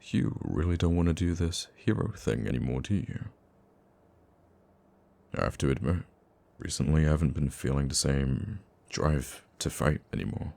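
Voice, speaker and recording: A man playing a gruffly caring, teasing supervillain who comforts a nemesis in an intimate ASMR roleplay, with a deep, gravelly voice. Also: lively intonation, full character voice. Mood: reflective, tender. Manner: soft-hearted, teasing, halting when flustered.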